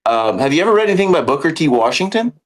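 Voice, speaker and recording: A man who teaches elementary history, explaining in earnest with many pauses and fillers in a casual podcast chat. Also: fairly high pitch, very animated.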